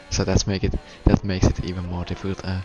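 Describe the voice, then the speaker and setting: Finnish guy calling a race, very low voice, stutters and restarts a lot, english not his first language. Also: completely flat delivery, noisy background. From Finland. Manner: halting, stuttering delivery with frequent restarts. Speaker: race commentator. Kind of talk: live sim racing commentary.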